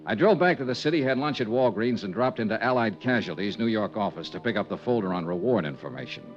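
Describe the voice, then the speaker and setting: A man's voice: lowish voice, animated, fast, natural voice. A wry, hard-boiled insurance investigator, voiced by a male actor narrating and talking in a dry, clipped way in an old radio drama. His voice moves up and down a lot. The sound is dull and muffled, with faint music behind. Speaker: narrator and lead.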